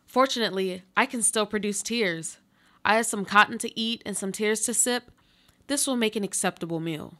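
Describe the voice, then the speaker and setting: A woman acting in a live radio play as a trapped woman stubbornly clinging to life, speaking in solemn, deliberate monologue. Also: mid-range voice, pushed and bright, lightly acted. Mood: stoic, hopeful.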